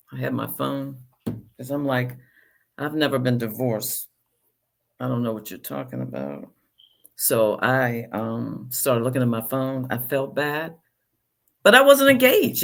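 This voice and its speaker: Bright-voiced chatty woman host. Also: extremely low pitch, vocal fry. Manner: chatty, tells self-deprecating anecdotes. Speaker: podcast host. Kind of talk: podcast interview conversation.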